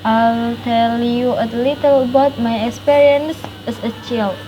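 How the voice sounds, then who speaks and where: A nineteen-year-old Indonesian woman, a university student with an Indonesian accent, reading her life story in English slowly and earnestly. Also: a little high, steady tone, muffled recording, background noise.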